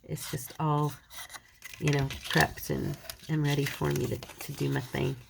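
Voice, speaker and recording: A woman's voice: sits low, little pitch movement, a little slow, creaky, background noise. A woman with a slight cold, chatting casually and a little self-deprecatingly as she folds paper in a crafting tutorial. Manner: chatty, self-deprecating, talks while working.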